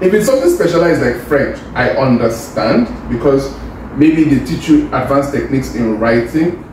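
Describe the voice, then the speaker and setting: Male podcast host, animated and curious, recorded a little off-mic in an echoey room. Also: medium pitch, normal speed, recorded from a distance, noisy background. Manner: animated, questioning, laughs often. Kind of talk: casual group chat.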